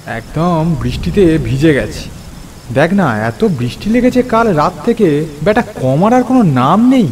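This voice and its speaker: A man playing a troubled, weary friend who is secretly a ghost, in a horror cartoon, speaking in a halting, ominous way. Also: fairly high pitch, animated, a little mellow, a little hiss or hum. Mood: weary, friendly. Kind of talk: cartoon horror story acting.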